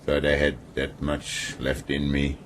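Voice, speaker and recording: An actor playing a brutal, sardonic ship's captain in a radio drama, weakened and half-paralyzed, speaking in a mocking, philosophical way. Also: male voice, extremely low pitch, completely flat delivery, a little slow, slight background noise. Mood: bitter, resigned. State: ailing, weakened.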